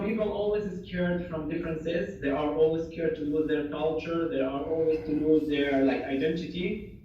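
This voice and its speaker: A man's voice: on the high side, warm tone, muffled recording, slight background noise. A young man from Syria, speaking English as an in an earnest, casual interview, full of fillers and restarted phrases. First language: Arabic. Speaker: interviewee, refugee and former assistant doctor. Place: home in Germany. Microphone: video call. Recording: echoey distant video-call audio.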